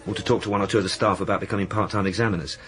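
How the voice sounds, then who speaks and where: An actor playing a diligent, deferential police sergeant in a radio drama, reporting in an earnest, matter-of-fact way. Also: male voice, fairly low pitch, steady tone, racing, a bit bright, natural voice. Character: deferential, diligent male police sergeant, junior to his boss.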